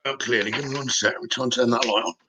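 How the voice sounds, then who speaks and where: A man hosting a live psychic reading stream, talking informally to viewers and thinking aloud in a halting way as he reads comments. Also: mid-range voice, steady tone, pushed and bright, husky.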